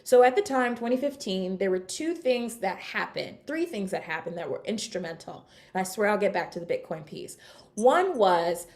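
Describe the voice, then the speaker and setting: A woman's voice: medium pitch, animated, normal speed. Upbeat chatty woman speaker. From California, United States. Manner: chatty, upbeat, addresses audience with shout-outs. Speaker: summit keynote speaker. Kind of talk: energetic conference talk. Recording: online talk.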